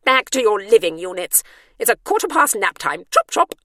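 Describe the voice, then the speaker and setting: A woman's voice: medium pitch, very animated, moderate pace, sharp tone, no room sound, studio quality, pitched above the performer's own voice. A woman voicing a haughty, officious male care-home warden who orders everyone about. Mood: bossy.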